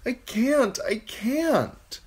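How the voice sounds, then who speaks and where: Man voicing a scared, plaintive young boy, pitched up. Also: lively intonation, very slow, breathy edge, full character voice. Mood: anxious, doubtful. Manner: plaintive, fearful. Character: frightened, tearful young boy falling in a dream.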